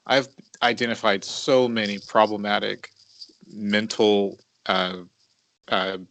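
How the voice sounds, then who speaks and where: A man in his mid-thirties talking as a podcast co-host in a reflective, confessional way, halting and deliberate, repeating words for emphasis. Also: on the low side, level tone, creaky, fairly bright tone, no echo, poor audio.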